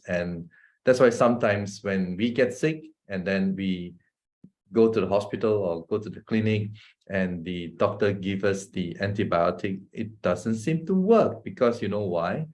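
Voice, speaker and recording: Guy, kinda low voice, doctor doing a casual health talk, keeps going "right?" to the crowd. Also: darkish tone. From Malaysia. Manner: chatty, often asks the audience "right?" and "guess what?"; chuckles often between points. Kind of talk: informal health talk with slides.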